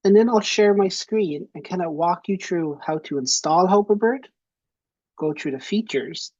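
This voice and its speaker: A man's voice: sits high, moderate pace, darkish tone, no echo, muffled recording. A young man with an Irish accent giving a chatty, friendly webinar presentation from a small room at home, speaking into a headset. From Ireland. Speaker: presenter and software founder.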